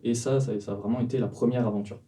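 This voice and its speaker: Man, 20s, startup cofounder guest; hesitant, filler-heavy delivery, very dark timbre, recorded from a distance. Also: mid-range voice, steady tone, fast. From France, Vendée. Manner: hesitant, many fillers and restarts. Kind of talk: casual interview answers.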